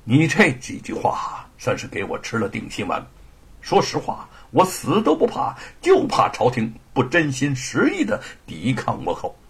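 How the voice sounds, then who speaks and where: Guy doing a loyal blunt old militia man, super animated, gravelly, historical tale, impassioned. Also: mid-range voice, normal speed, heavily acted. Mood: heartfelt. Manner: impassioned, emphatic. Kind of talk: dramatised Chinese historical storytelling.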